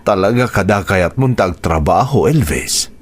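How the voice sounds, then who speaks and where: Radio drama father, very low voice, expressive delivery, earnest and encouraging with his child. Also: measured pace. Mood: hesitant, questioning. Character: supportive father who once dreamed of music himself. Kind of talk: Ilocano radio drama acting.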